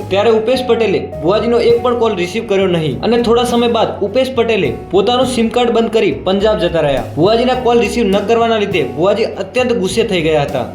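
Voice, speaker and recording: A man's voice: high-pitched, darkish tone. A man narrating a scripted explainer as a channel host. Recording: roomy echo, faint music behind.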